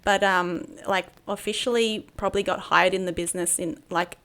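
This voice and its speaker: A middle-aged woman, a podcast guest and business coach, recounting her past in a halting way with many fillers and restarts. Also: fairly low pitch, steady tone, fairly bright tone. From Australia. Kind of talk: personal interview storytelling.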